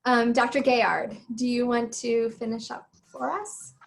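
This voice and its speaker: Higher-voiced woman moderator. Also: fairly even intonation, a little slow, gravelly. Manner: friendly, casual host. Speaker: moderator, gynecologic oncologist. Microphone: video call.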